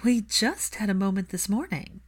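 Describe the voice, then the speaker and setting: A woman's voice: mid-range voice, lively intonation, normal speed, voice pushed up, natural voice. A woman voicing a stubborn, affectionate young woman in an audiobook story, assertive and teasing.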